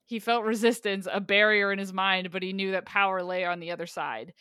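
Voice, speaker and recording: A woman's voice: medium pitch, steady tone, fairly quick, pushed and bright, clear, resonant tone, no room sound, clean studio sound. A woman in casual book chat, reading passages aloud and making wry remarks.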